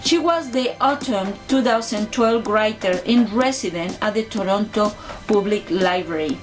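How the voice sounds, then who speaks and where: A woman's voice: mid-range voice, measured pace, faint music behind, low-quality recording. A woman hosting a talk show, reading an introduction and asking simple, direct questions, sometimes stumbling over her words.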